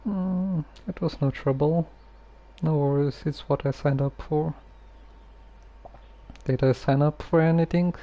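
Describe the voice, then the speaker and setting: A man giving casual game commentary as a streamer, musing in a halting, hesitant way. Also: a little high, steady tone, mellow, highs cut off.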